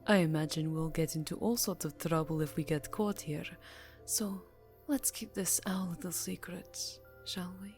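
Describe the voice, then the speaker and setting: A woman voicing an elegant, imperious yet tenderly seductive vampire countess in an intimate ASMR roleplay, speaking formally and softly, breathy and close to the mic, drifting into whispers. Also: fairly low pitch, normal speed, faint music behind, close to an everyday voice. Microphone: close microphone.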